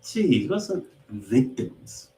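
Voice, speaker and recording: A man's voice: mid-range voice, big pitch swings, takes it slowly, warm tone, slight background noise. A man co-hosting a sports podcast, giving recaps and commentary in an emphatic, opinionated way. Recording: roomy, echoing sound.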